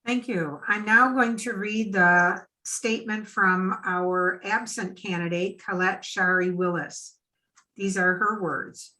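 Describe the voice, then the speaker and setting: A woman's voice: mid-range voice, steady tone, slow pace, sharp tone, low-quality recording. An American woman speaking formally as the moderator of a public forum, reading aloud and giving instructions.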